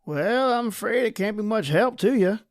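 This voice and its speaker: A courteous country doctor in an old-time radio play. His voice is fairly high for a man, formal and hospitable, and recorded close and dry. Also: expressive, normal speed, no room sound. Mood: polite, hospitable. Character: courteous country doctor receiving stranded night visitors.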